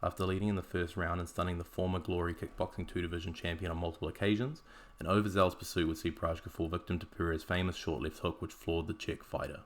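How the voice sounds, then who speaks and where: Kiwi guy hosting a podcast, low voice, rattles through his script, dry jokes. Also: flat delivery, quick pace. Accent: New Zealand. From New Zealand. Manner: dry, wry asides. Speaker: podcast host.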